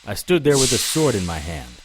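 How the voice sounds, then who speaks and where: A man's voice: on the low side, big pitch swings, a little fast, over music, low-quality recording. A man playing a wry, hard-boiled hero, narrating a scripted audio drama in the first person with dry sarcasm. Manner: dry, sardonic. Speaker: narrator and lead character.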